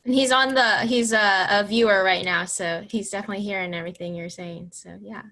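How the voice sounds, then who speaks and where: A woman's voice: medium pitch, fairly even intonation, brisk, bright, forceful tone. A woman in a mid-range voice leads a town hall discussion on a video call. She frames questions for the panelists in a friendly way, restarting her sentences as she goes. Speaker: moderator.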